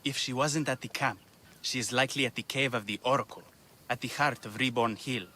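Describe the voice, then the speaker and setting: Bright-voiced, grieving, vengeful man. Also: mid-range voice, brisk. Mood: subdued, solemn. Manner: solemn, bitter. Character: grieving, vengeful male musician who lost his brother. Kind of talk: video game dramatic acting. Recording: faint ambient noise.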